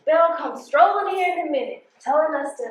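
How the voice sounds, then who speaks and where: A woman's voice: high voice, lively intonation, a little fast, slight background noise. An American woman acting in a stage play as a guarded, suspicious postal carrier, speaking colloquially with a streetwise, emphatic delivery. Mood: defensive, irritated. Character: guarded, suspicious, hard-working single female postal carrier. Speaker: stage actor. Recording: roomy stage sound.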